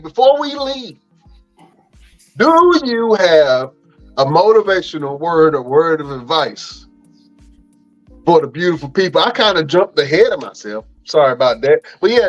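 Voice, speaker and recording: A man's voice: on the high side, highs cut off. A man co-hosting a wrestling podcast in a laid-back, slangy way, plugging the show and chatting with his co-host. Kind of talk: casual podcast chat.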